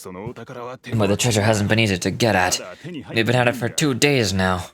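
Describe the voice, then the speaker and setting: An actor playing a gruff, sneering male bandit in a video game who talks in blunt slang. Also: lowish voice, moderate pace, crowd noise, natural voice.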